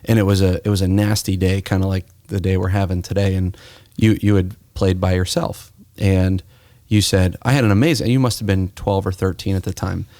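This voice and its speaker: An American man hosts a conversational podcast interview. His voice sits fairly low, and he talks reflectively, like someone telling a story. The sound is dry and close, with no room echo. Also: flat delivery, a little fast, warm tone. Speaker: podcast host.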